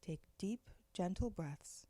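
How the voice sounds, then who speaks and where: A woman leading a guided meditation, giving slow, soft, gentle instructions with long pauses. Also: lowish voice, lively intonation.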